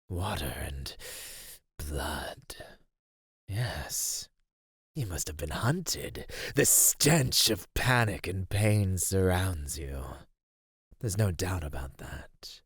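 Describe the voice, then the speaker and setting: A man playing an ancient cave monster in an ASMR roleplay, husky and low, speaking formally with predatory, teasing menace. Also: no room sound, studio quality, exaggerated voice. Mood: teasing, intrigued.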